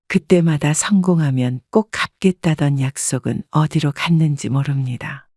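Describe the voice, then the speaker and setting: A woman narrating a scripted first-person family story in a calm, reflective tone. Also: low voice, moderate pace, dry recording, studio quality.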